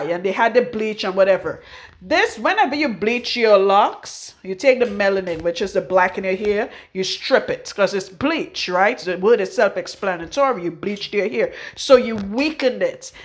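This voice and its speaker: A middle-aged Trinidadian woman giving a frank, forceful hair-care talk as a loctician in her salon. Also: mid-range voice, expressive, normal speed. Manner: blunt, forceful, frank, swears freely. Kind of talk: casual hair-care tutorial.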